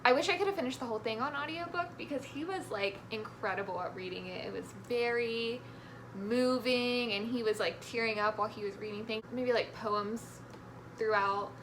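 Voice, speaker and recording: A woman's voice: a little high, normal speed, background noise. A woman talking casually about books as a guest on a vlog. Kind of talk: casual book chat.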